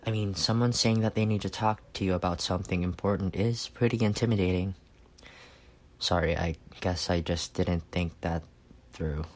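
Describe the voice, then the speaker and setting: A man acting a reserved, awkward and earnest best friend in an intimate roleplay, speaking softly and haltingly. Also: low-pitched, natural voice. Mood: calm, hesitant. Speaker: voice actor.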